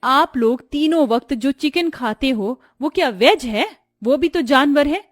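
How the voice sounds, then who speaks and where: Woman playing a high-voiced, plaintive young Indian bride. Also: animated, measured pace, no echo, clean studio sound. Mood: reproachful. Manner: plaintive, lamenting. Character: distressed young Indian daughter-in-law, tender-hearted and plaintive. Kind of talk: cartoon story dialogue.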